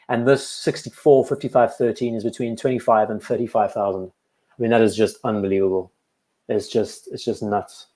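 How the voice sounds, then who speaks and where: A young man with a Cape Town South African accent, hosting a casual livestream and chatting in a relaxed, enthusiastic way, thinking aloud as he goes. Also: medium pitch. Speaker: livestream host.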